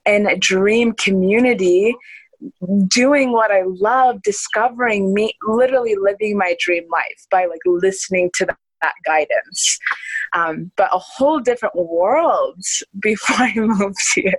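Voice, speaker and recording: A woman's voice: medium pitch, no room sound, low-quality recording. A woman in her early twenties, an interview guest talking earnestly and enthusiastically, laughing often and restarting her sentences. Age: young adult. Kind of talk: casual conversational interview.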